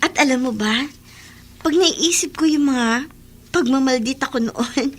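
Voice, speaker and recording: Filipino schoolgirl little sister in radio drama, highish voice, sincere, chatty, sorry for being snooty. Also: measured pace, slight background noise. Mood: earnest, affectionate. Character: repentant schoolgirl younger sister, once snooty, now affectionate.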